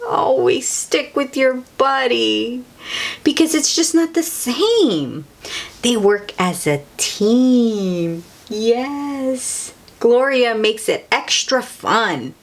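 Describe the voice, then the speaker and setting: A woman's voice: sits high, a little hiss or hum. A woman reading a children's picture book aloud, with exclamations and chatty asides to young listeners. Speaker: storybook read-aloud host.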